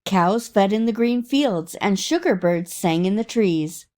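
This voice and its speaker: A woman's voice: mid-range voice, expressive, unhurried, darkish tone. A woman reading a children's story aloud as a storyteller. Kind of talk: children's story read-along narration.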